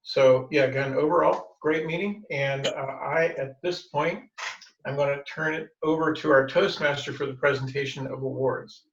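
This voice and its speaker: A man's voice: medium pitch, steady tone, moderate pace, creaky, a bit bright, far from the mic. A man gives rambling, encouraging feedback over a video call. He sits away from his mic in an echoey room, and his sound is dull and lo-fi. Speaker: meeting evaluator. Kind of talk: giving meeting feedback.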